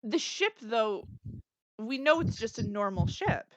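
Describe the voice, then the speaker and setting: A woman's voice: a little high, sing-song, unhurried, no room sound, low-quality recording. A woman playing a tabletop game, chatting casually and bluntly with the group. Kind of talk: casual, lively game chat.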